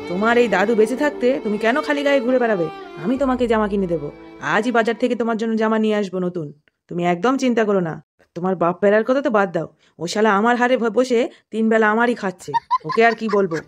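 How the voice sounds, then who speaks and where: Woman as fast-talking grumpy cartoon grandpa. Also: on the high side, over music, lightly acted. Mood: doting. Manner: blustering, colloquial, coarse. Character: doting but foul-mouthed, grumpy old grandfather. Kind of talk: Bengali comedy cartoon dialogue.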